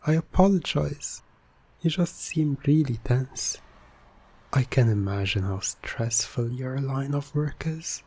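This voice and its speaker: Coaxing male hypnotist, played by a man, ASMR roleplay: soft, dark-toned, unhurried, persuasive and soothing. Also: medium pitch, animated, exaggerated voice. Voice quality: soft, breathy. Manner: soothing, persuasive, deliberate, often dropping to a whisper. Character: smooth-talking, coaxing male hypnotist playing innocent motorist.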